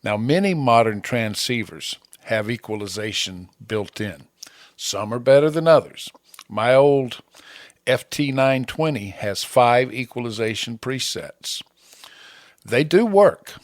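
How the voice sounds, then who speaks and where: A man presenting a scripted amateur radio tutorial in plain, measured short statements, close on a broadcast microphone. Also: mid-range voice, lively intonation, dry recording. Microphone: close broadcast podcast microphone.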